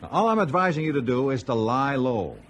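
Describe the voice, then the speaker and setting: An actor playing a smooth, insistent male company executive in a radio drama, courteous and deliberate in his phrasing. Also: mid-range voice, lively intonation, fairly bright tone, slight background noise, natural voice. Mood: persuasive.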